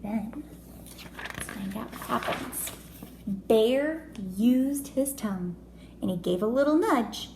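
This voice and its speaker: Woman, kindergarten teacher, slightly high creaky voice, unhurried storybook reading, child-directed asides, echoey room. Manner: expressive, child-directed, asking questions and commenting. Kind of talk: storybook read-aloud with chatty asides to children. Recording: echoey room with faint noise.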